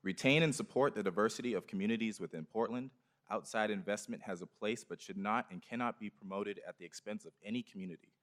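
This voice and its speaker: A man's voice: lowish voice, fairly bright tone. A man giving public comment at a meeting, reading petition comments aloud in a firm way with frequent ums.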